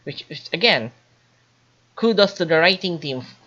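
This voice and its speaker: A woman giving an informal spoken game review in a hesitant, halting, explanatory way. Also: fairly low pitch, animated, a bit bright, dull audio. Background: light background music.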